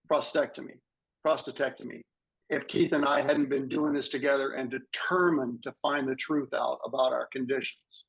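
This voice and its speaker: Husky man in his sixties. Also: a little high, poor audio. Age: 60s. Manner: earnest, advising listeners directly. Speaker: patient panelist. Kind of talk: sharing a personal story on a video panel.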